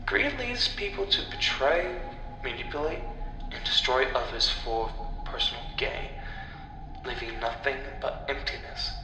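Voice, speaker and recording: Slow male horror narrator, heavy reverb. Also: medium pitch, bright, forceful tone. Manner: dramatic, deliberate phrasing with pauses. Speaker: horror storyteller. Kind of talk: scripted horror story narration. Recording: echoey, long reverb, clear background noise.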